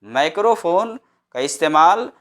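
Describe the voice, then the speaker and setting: A man's voice: on the high side, lively intonation, a little slow, fairly bright tone. A man giving an Islamic religious lecture, explaining step by step and repeating phrases for emphasis. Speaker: Islamic preacher. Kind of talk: religious lecture with scripture quotations.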